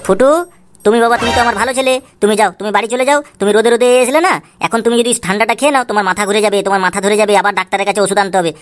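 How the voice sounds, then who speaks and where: A woman's voice: mid-range voice, steady tone, very fast, natural voice. A woman voicing an exasperated, scheming father in a comic cartoon, coaxing and blustering as he repeats himself. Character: exasperated, scheming father caught drinking with friends. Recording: clear background noise.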